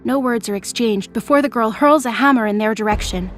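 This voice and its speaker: Female narrator, slightly high voice, scripted dramatic storytelling over faint music. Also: brisk.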